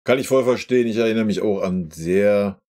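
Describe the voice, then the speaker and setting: A man's voice: on the low side, brisk, darkish tone. A man reacting to a video as a streamer, sympathetic and conversational. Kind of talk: reaction commentary.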